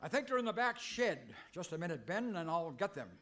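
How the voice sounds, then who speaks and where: Guy playing sheepish western hero, slightly high voice, earnest and flustered, radio comedy style. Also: sing-song, a little fast, fairly bright tone, a little hiss or hum. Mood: apologetic. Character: flustered, sheepish masked cowboy hero at home. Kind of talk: old-time radio comedy acting.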